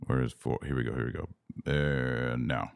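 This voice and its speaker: A man's voice: very deep voice, steady tone, normal speed, gravelly, clean tone, no echo, studio quality. A man hosting a podcast in casual religious conversation. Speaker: podcast host.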